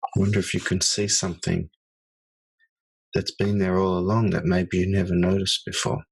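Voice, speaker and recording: A man leading a guided meditation, speaking slowly and softly with long pauses. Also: sits low, little pitch movement, creaky, slightly rough, rough recording. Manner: slow, soft-spoken, with long pauses.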